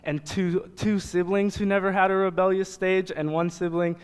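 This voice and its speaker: A man's voice: high voice, steady tone, normal speed, clean tone. A young American man, a youth pastor from Nebraska, preaching informally to a congregation in a casual, joking, conversational way. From Nebraska, United States. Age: young adult. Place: church hall, speaking to congregation. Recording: reverberant hall sound.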